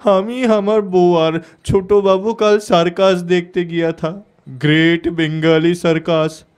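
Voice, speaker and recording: A man's voice: high-pitched, little pitch movement, slow, a little mellow, clear, resonant tone. A man playing a distraught, pleading poor father with a Bihari Hindi accent in an audio story. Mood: grieving, recounting. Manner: pleading, mixes in Hindi words. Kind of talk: Bengali audio story acting.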